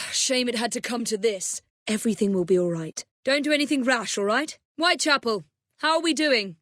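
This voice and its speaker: Game role: young female English assassin and gang leader of the Victorian era. High, expressive, crisp, wry, in command; dry studio sound. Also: moderate pace, dry recording. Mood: composed, wry. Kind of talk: video game voice lines.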